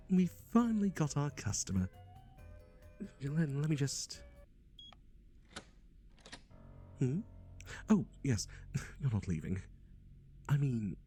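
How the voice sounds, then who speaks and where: A man's voice: mid-range voice, dark tone. A man playing a chatty, nervously cheerful, shady matchmaker in an ASMR roleplay, with a theatrical, sardonic delivery and hesitant asides. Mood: eager, welcoming, then amused, ominous.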